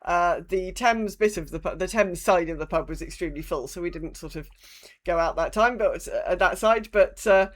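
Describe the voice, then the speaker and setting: A woman's voice: lowish voice, moderate pace. A woman with a British accent chatting casually as a podcast co-host, telling rambling anecdotes with wry understatement. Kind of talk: casual chat.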